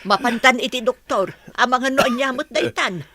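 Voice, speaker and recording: An actress with an Ilocano accent playing a worried, nagging middle-aged wife in a radio drama, scolding and fretful. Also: female voice, on the high side, normal speed, a crowd in the background, lightly acted. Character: worried, nagging wife of an ailing working man.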